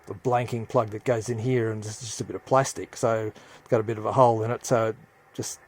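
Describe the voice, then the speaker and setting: Man thinking aloud, halting delivery. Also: fairly low pitch, steady tone, darkish tone. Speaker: hobbyist radio presenter. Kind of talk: casual hands-on demonstration narration.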